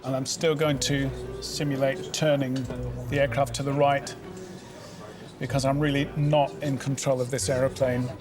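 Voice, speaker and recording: A man's voice: medium pitch, normal speed, over music. Ex-pilot man chatting casually. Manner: relaxed, anecdotal, with fillers. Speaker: ex-pilot running simulations. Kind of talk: casual explanation.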